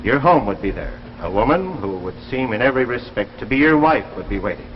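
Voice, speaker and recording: An actor playing a solemn, authoritative doctor who heads a secret scientific committee in a 1950s science fiction drama, formal and deliberate. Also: male voice, mid-range voice, animated, slight background noise, lightly acted. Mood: coolly persuasive. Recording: dull vintage 1950s broadcast, music behind.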